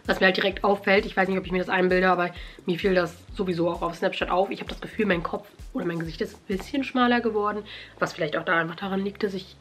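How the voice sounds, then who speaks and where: A young woman vlogging casually from her bedroom, slightly nasal from a cold, chatty and animated. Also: fairly low pitch, fast talker, soft background music. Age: young adult. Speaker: YouTuber. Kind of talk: casual vlog chat. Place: bedroom at home.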